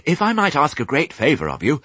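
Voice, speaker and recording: Man as a polite, high-pitched young piglet. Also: big pitch swings, moderate pace, breathy edge, no echo. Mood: politely pleading. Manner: very courteous, earnest. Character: polite, earnest, eager young male piglet. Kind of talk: audiobook character voice.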